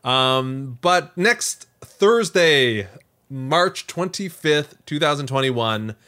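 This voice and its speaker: A man's voice: mid-range voice, animated, drawn-out words, bright, forceful tone, clear, resonant tone. A man co-hosting a podcast in casual, jokey, self-deprecating chat. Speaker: podcast co-host.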